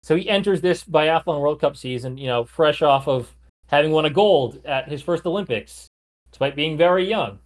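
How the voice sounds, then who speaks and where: Chatty man co-hosting a sports podcast. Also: fairly high pitch. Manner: chatty, lots of "like" and "you know", occasional restarts. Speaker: podcast co-host. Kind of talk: casual chat, recounting a sports career.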